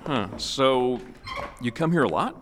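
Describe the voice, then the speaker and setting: A man's voice: mid-range voice, animated, a little slow, noisy background, lightly acted. A man acting in a radio play as a skeptical grumbler with a sarcastic, incredulous manner. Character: grumbling, skeptical man trick-or-treating in costume.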